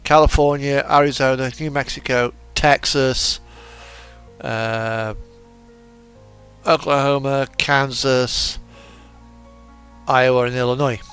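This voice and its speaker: A man's voice: medium pitch, fairly even intonation, slow, pauses often, fairly bright tone, smooth. A man giving casual, rambling gameplay commentary, hesitant and thinking aloud as he goes. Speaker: host of a truck simulator gaming video. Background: music from an in-game radio.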